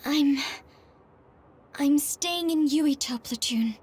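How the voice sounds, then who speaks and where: A woman's voice: sits high, slow, a little mellow. A woman playing a determined young soldier in a video game, earnest, emotional and loyal to friends. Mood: resolute. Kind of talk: anime-style video game cutscene acting.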